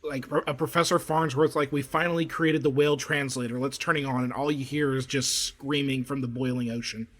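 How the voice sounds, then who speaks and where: A man's voice: medium pitch, steady tone, brisk, hardly any pauses, fairly bright tone. A man co-hosting a casual podcast, stammering a little before landing his jokes. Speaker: podcast co-host. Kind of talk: casual chat.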